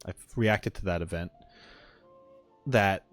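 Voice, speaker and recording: A man's voice: low-pitched, takes it slowly, faint music behind. A man giving casual, off-the-cuff streamer commentary, musing and thinking aloud as he goes. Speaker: streamer reacting to game trailers and voice lines.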